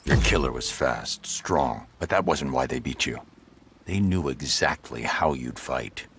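An actor playing a grim, brooding vigilante detective in a video game, speaking in a terse, grave, deliberate way. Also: male voice, extremely low pitch, lively intonation, fairly bright tone, a little hiss or hum, full character voice. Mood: grim analysis.

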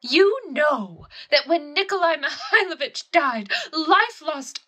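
A woman's voice: high voice, expressive, takes it slowly, fairly bright tone, exaggerated voice. A woman playing a young grieving widow in an audio play, haughty and self-dramatizing, declaiming with tragic, imperious airs. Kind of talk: theatrical audio play acting.

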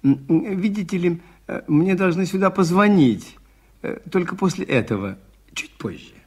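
An actor in a Soviet radio play playing a mild, shrewd priest detective, polite, hesitant and quietly probing. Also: male voice, high-pitched, animated, moderate pace, husky. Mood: patient, explaining.

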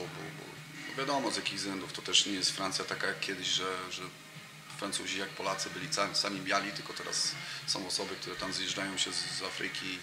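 Brief: man, 30s, low monotone, halting guest on a table mic, echoey noisy room. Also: creaky. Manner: halting, many fillers and restarts. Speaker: interview guest, football coach. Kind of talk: casual interview chat. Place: cafe room with brick wall and sofa. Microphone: table mic in front of him.

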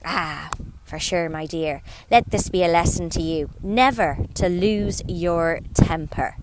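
A woman voicing a preachy old mother crab who lectures slowly. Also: lowish voice, background noise. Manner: slow, lecturing.

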